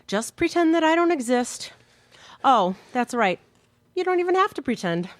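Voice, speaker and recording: A woman acting an exasperated, sharp-tongued wife in a bickering radio comedy-drama, with pointed theatrical delivery. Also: sits high, very animated, normal speed, no echo. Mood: fed up.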